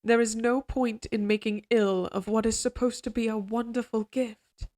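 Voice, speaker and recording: A woman playing a regal, possessive queen in an audio roleplay drama, speaking in formal, measured courtly phrases, tender yet controlling. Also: on the high side, steady tone, darkish tone, natural voice. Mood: tender, reassuring.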